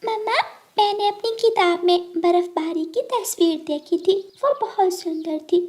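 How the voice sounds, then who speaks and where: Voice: very high voice, measured pace, darkish tone. A performer voicing a sweet, curious little baby bird in a children's cartoon. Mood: excited wonder.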